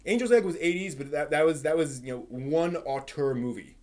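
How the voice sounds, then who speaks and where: A man hosting a casual live stream, thinking aloud in a halting, rephrasing way while giving emphatic opinions. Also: fairly high pitch, darkish tone. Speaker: livestream host. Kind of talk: casual live-stream chat, answering viewers' comments.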